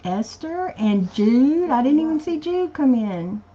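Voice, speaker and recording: A woman's voice: high voice, very animated, unhurried, warm tone, lo-fi sound, a little hiss or hum. A woman co-hosting a casual livestream. She is easygoing, laughs often and reads viewer comments aloud. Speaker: co-host of a live chat show.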